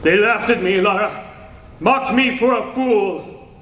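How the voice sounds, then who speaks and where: A man's voice: high voice, slow, fairly bright tone. A man acting a grave, passionate young alien scientist foretelling doom in solemn, declamatory old-time radio drama style. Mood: weary, resolved. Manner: solemn, declamatory, melodramatic delivery. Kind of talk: 1940s radio serial acting recreated on stage. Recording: echoey hall recording with background noise.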